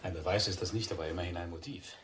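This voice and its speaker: An actor playing a cornered, evasive widower under suspicion in a crime radio drama, measured and defensive. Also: male voice, deep voice, steady tone, a bit bright, breathy edge, full character voice. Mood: guarded calm. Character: cornered widower, bitter, evasive, confessing suspect.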